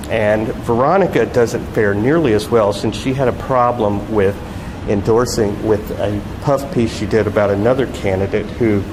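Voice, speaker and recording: Male author reading in an echoey hall. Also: on the low side. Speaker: novelist reading own work. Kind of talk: live literary reading. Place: public arts event, voice ringing in the space. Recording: echoey hall with loud background noise.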